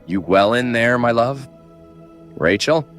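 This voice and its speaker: A man's voice: medium pitch, expressive, slow pace, music in the background. A man playing an anxious, loving but impatient husband in an audio drama. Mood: concerned, calling.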